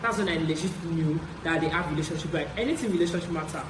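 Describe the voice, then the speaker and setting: A young adult man with a Nigerian accent tells stories casually, in an animated way, repeating phrases for emphasis as a vlogger. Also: on the high side, fast. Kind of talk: casual story-time chat. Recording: roomy echo, clear background noise.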